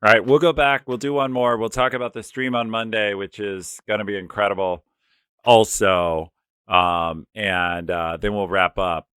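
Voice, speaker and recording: A man with a lowish, clear and resonant voice co-hosts a livestream over a video call. He talks informally, steering the show and addressing viewers directly. The recording is close and dry. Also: fairly even intonation, moderate pace, fairly bright tone, no room sound. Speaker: livestream co-host. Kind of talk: casual livestream hosting.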